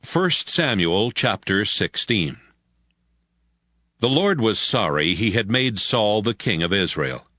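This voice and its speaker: A man's voice: on the low side, big pitch swings, slow, sharp tone, no room sound. A man narrating scripture in a scripted, dramatic storytelling style.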